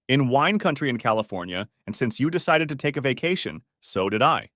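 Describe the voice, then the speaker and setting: A man's voice: medium pitch, big pitch swings, brisk, fairly bright tone, no room sound, clean studio sound. A man voicing a sarcastic, wounded husband in a dramatic story reading. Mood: pointed, bitter. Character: Steve, sarcastic, wounded husband needling his wife. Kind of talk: audiobook-style dramatic reading of dialogue.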